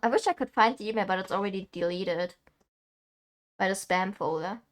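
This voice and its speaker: A young woman chats away while she plays a game. She rambles from story to story and laughs often, at an ordinary middle pitch. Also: moderate pace. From Germany. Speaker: streamer. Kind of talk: casual chat while playing a game.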